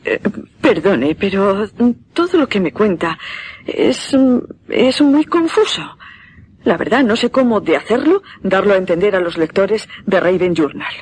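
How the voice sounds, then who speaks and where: A woman's voice: mid-range voice, expressive, measured pace, a little mellow, husky. An actress playing an earnest, uneasy woman journalist in a suspense radio drama, asking hesitant, polite questions. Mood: troubled, hesitant.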